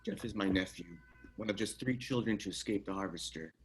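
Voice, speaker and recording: A man playing a grave, haunted police detective in a screenplay table read, serious and measured. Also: on the low side, lightly acted. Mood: grieving, subdued.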